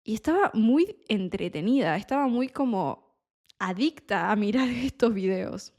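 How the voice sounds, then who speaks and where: Slow, deliberate woman from Buenos Aires. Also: mid-range voice, animated, darkish tone. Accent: Buenos Aires Spanish. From Argentina. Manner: deliberate, explains words as she goes. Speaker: Spanish tutor. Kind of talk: slow, clear teaching monologue.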